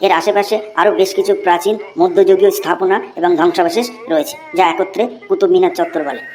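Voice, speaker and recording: A woman's voice: lowish voice, fairly even intonation, quick pace, darkish tone, natural voice. A woman voicing a cheeky schoolboy who recites a long essay by rote in a cartoon. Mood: recitation. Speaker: narrator and channel host. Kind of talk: cartoon voice acting.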